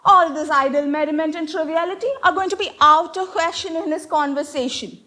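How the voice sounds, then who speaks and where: A woman's voice: high-pitched, fairly quick, bright, forceful tone. A woman playing a strict, prim Victorian governess in a stage comedy, pompous and given to moralizing. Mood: disapproving, moralizing. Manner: stern, pompous, declamatory. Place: live stage play.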